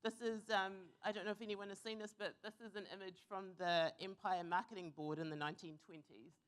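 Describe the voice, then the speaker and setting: A young woman from New Zealand giving an earnest, reflective conference talk, pausing with fillers now and then. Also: fairly low pitch. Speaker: conference speaker. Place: conference hall.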